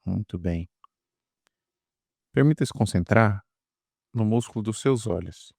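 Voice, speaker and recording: Deep-voiced Brazilian man guiding hypnosis. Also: gravelly, a little mellow, no room sound, clean studio sound. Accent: Brazilian Portuguese. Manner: soothing, repetitive, drawn-out phrasing with pauses. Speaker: guided meditation and hypnosis guide. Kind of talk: guided meditation, hypnotic induction.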